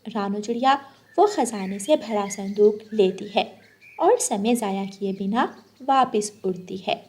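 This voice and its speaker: A woman's voice: medium pitch, measured pace, dark tone, a little hiss or hum. A woman delivering scripted narration for a children's story.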